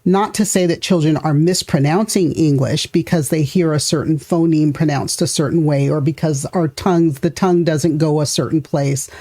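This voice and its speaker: A middle-aged woman hosting a podcast interview, talking in a thoughtful, measured way and laughing easily. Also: low-pitched, warm tone. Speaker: podcast host. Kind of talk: conversational interview.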